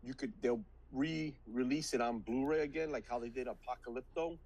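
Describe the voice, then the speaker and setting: A man's voice: medium pitch, brisk, darkish tone, lo-fi sound. A man co-hosting a podcast, chatting casually about movies and laughing often. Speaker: podcast co-host.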